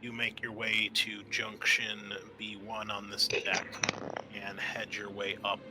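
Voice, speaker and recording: A man narrating an improvised tabletop game as game master, halting often mid-sentence. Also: fairly low pitch, monotone, very noisy.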